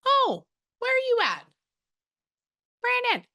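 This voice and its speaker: A woman's voice: very high-pitched, wildly swooping pitch, pushed and bright. A woman in her thirties, a psychic medium, giving a chatty, rambling reading over a video call. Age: 30s. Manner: chatty and rambling, quick bursts of surprise.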